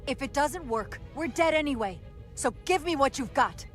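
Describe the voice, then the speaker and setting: A woman's voice: sits high, lively intonation, moderate pace. A woman playing a resolute, reckless starship crew member in a science fiction video game. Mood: defiant. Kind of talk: video game dramatic acting. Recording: faint music behind the voice.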